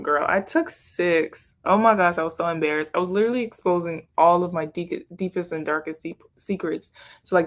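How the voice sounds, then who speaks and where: A young woman, born in Haiti and raised in Florida, chatting casually as she answers viewer questions, rambling and second-guessing herself. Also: lowish voice. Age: young adult. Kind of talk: casual chat.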